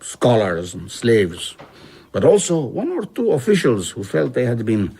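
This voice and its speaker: An actor playing a reflective, middle-aged traveller in a radio drama, recounting his past in a husky, rueful, measured way. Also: medium pitch, very animated, warm tone, exaggerated voice.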